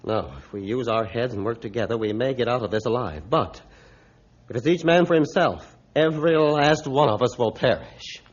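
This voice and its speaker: An actor playing a stern, authoritative ship's captain in a 1950s radio drama, speaking formally and emphatically. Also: male voice, medium pitch, normal speed, a little hiss or hum, natural voice. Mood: firm. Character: stern, commanding male ship's captain, scornful of fools. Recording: dull, lo-fi old radio recording.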